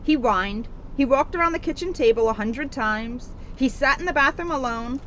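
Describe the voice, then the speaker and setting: Woman, high voice, expressive children's read-aloud, emphatic delivery, dull recording with background noise. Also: moderate pace. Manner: animated, emphatic on sound words. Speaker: storybook reader, police officer. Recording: noisy, dull outdoor recording.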